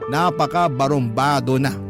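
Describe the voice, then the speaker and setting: A man's voice: mid-range voice, moderate pace, close to an everyday voice. Actor as disheartened Filipino man narrating over music. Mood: frustrated. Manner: confiding, resigned. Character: disheartened man recounting his troubled relationship. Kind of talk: first-person dramatic narration for radio.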